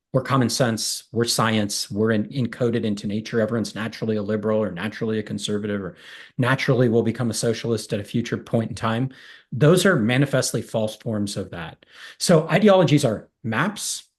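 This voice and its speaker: A man's voice: fairly low pitch, breathy edge. A man in his thirties, a political science professor, explaining ideas at length in an interview over a video call from a small room, speaking through earphones with a cable mic. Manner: thoughtful, explanatory, builds long lists. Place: small home room with bare wall.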